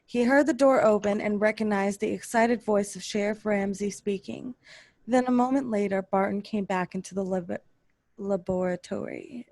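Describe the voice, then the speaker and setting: A woman's voice: medium pitch, creaky, darkish tone, no room sound. A woman reading scripted narration for an old-style radio drama.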